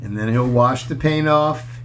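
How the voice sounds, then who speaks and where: A man's voice: mid-range voice, fairly bright tone, slight background noise. A man reading a children's picture book aloud in a storytelling style, with chatty asides to his listeners. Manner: chatty, pauses to comment on pictures and question listeners.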